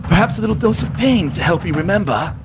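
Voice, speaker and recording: A man voicing a cold, cruel dark wizard villain in a breathy, rasping, sinister and taunting voice. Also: high-pitched, expressive, fast, background noise, voice pushed up. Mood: cold menace.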